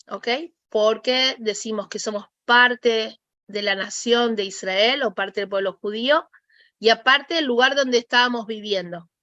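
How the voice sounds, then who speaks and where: Argentine lady in her 40s explaining things patiently, slightly higher pitch, dull dry sound. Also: a bit bright, dry recording. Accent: Argentine Spanish. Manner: patient, explains concepts step by step. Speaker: interview guest. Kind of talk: explanatory interview talk.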